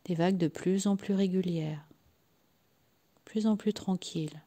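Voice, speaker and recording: A woman leading a guided yoga nidra relaxation in a soft, sometimes breathy voice, soothing and unhurried, leaving long pauses between short phrases. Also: fairly low pitch. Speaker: guided meditation and sophrology practitioner.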